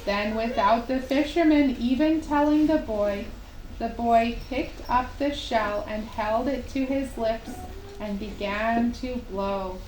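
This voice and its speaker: A woman narrating a children's puppet show story in simple, unhurried phrases with long pauses. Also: a little high, darkish tone. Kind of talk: slow storytelling for young children. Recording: roomy live recording with faint noise.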